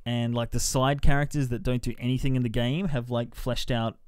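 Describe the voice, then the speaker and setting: A man's voice: mid-range voice, flat delivery, brisk, a little mellow, clear, resonant tone, no room sound. Casual man chatting through a review, dry sound. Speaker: podcast film reviewer.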